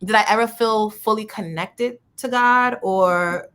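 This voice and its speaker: A woman's voice: medium pitch, unhurried, fairly bright tone, smooth. A woman in her thirties from New York City, a radio interview guest telling her life story in an earnest, candid way with playful asides.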